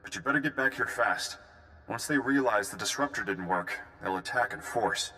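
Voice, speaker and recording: An actor playing a gruff, duty-bound prison station officer in a video game, giving brisk military briefings. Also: male voice, mid-range voice, bright, forceful tone, close to an everyday voice. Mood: tense.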